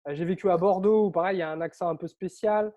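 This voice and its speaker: A man who grew up on Réunion Island, telling his story as an interview guest in a lively, chatty way with frequent fillers. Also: high voice, quick pace, highs cut off. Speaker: interview guest, author and coach.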